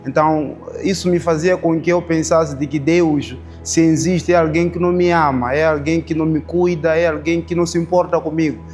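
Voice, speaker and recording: A man in his twenties with a Mozambican Portuguese accent, giving an earnest, reflective testimony in an interview and pausing on small fillers. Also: on the high side, steady tone. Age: 20s. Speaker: Bible school student. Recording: soft music underneath.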